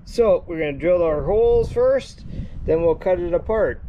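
A man's voice: high-pitched, expressive, normal speed, lo-fi sound, slight background noise. A man in his fifties hosting a casual DIY vlog from his driveway and garage workshop, chatty and rambling, often saying "guys". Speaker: DIY channel host.

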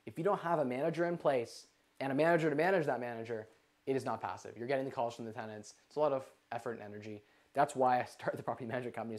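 A man in his twenties hosting a live investing question-and-answer stream from a living room, energetic and gesturing, with lots of "like". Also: mid-range voice. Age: 20s. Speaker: livestream host. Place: living room with a couch, indoors.

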